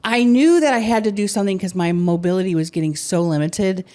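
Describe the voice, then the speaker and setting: A woman hosting a podcast, chatting candidly and with animation, her loudness swinging up and down. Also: medium pitch, quick pace. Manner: candid, animated, swings between loud and soft. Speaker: podcast host. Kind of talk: casual chat.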